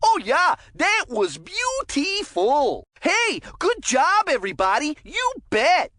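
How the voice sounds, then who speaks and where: A goofy kid sports commentator with a very high voice that swoops up and down. The delivery is folksy, gushing and full of boastful windbag chatter, made for a cartoon hockey game, in a close, dry recording. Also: female voice, wildly swooping pitch, takes it slowly, fairly bright tone, no echo, exaggerated voice. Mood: cheerful, encouraging.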